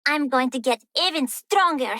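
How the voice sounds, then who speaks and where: A woman voicing a sweet, earnest young girl in a game, gentle and eager to please. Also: high-pitched, animated, moderate pace, background noise. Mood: sweet, proud. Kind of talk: game character voice line.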